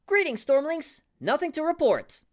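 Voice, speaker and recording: Woman doing a high-pitched chipper gatekeeper guard. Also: very animated, normal speed. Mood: chipper, dutiful. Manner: bright salute-like greeting, formulaic reporting. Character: chipper, dutiful gatekeeper guard greeting passers-by, video game style.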